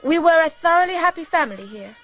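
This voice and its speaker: A woman's voice: extremely high pitch, lively intonation, fast, a bit bright, soft background music. A woman playing a gushing, evasive, nervy wife in a radio drama, with an insistent manner. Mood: defensive.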